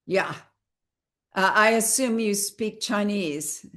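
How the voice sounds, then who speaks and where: A woman hosting a reflective conversation, speaking slowly and choosing her words with care. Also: mid-range voice, fairly bright tone. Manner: slow, thoughtful, careful with words. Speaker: host.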